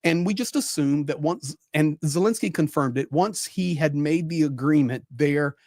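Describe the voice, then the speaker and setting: A man's voice: on the high side, normal speed, darkish tone, dry recording. Man giving live commentary, close dry sound. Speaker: panel guest commentator.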